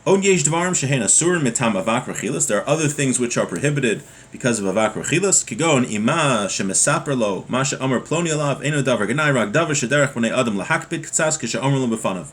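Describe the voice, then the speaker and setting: A man's voice: medium pitch, expressive, fast talker, few pauses, fairly bright tone, husky. A man giving an informal lesson as a religious teacher, reading from a text and then explaining it with everyday examples.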